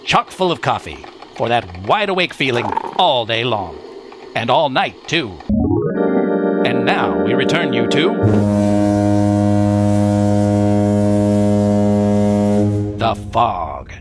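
Hearty old timey radio announcer selling stuff, very up n down, loud music under it. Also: male voice, mid-range voice, sing-song, measured pace. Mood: upbeat, announcing. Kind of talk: parody 1940s radio advertising.

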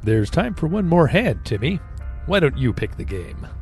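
A man's voice: on the high side, very animated, moderate pace, darkish tone. A man playing a dry, world-weary older scoutmaster in a sci-fi radio adventure, wary and puzzled. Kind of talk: retro radio adventure acting. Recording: music behind the voice.

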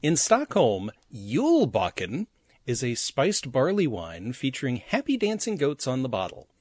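A man's voice: mid-range voice, huge pitch swings, a little slow, breathy edge, dry recording. A man hosting a podcast, reading out trivia items with a wry, teasing delivery in playful chat. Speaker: podcast host presenting trivia.

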